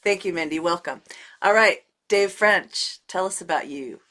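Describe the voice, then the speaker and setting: A woman's voice: on the low side, moderate pace, sharp tone. Gushing, enthusiastic woman host. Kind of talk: hosting a group video call.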